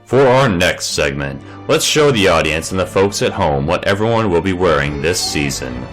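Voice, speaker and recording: An actor playing a smooth, tactless television talk show host in an animated comic. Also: male voice, deep voice, moderate pace, faint music behind. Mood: breezy.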